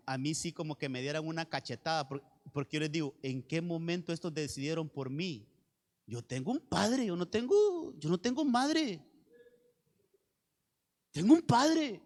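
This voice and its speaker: A male evangelical pastor with a Honduran accent delivers a sermon in a notably high voice. He exhorts his listeners directly and repeats phrases to press his point. The sound carries the long reverb of a large hall. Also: darkish tone. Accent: Honduran Spanish.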